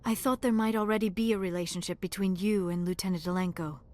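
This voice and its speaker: Actress doing a shy alien lady scholar for a game, very proper wording, mid voice, soft music underneath. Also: mid-range voice, fairly even intonation, fast, natural voice. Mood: tentative, intimate. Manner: formal, careful phrasing without contractions. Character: shy, formal, earnest female alien scientist. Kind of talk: video game voice acting.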